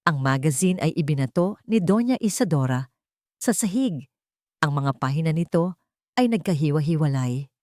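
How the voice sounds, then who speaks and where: A woman's voice: sits low, expressive, normal speed, darkish tone, dry recording, studio quality. A woman with a Filipino accent reads a dramatic scripted love story as its narrator.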